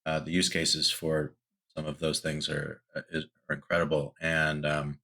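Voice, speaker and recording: Male podcast host, low pitch, bright forceful tone, rambling conversational delivery. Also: monotone, moderate pace. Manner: loose and rambling, many fillers and restarts.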